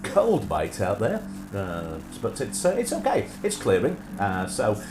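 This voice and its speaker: A man's voice: lowish voice, huge pitch swings, unhurried, roomy sound, over music. A middle-aged man hosting a casual livestream chat, slightly nasal from sinusitis, rambling with many filler hesitations. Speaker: host.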